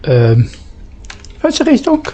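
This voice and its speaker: A man giving playthrough commentary on a game, partly in character, in a halting, muttering way. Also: extremely high pitch, wildly swooping pitch, noisy background. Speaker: gamer playing and commenting.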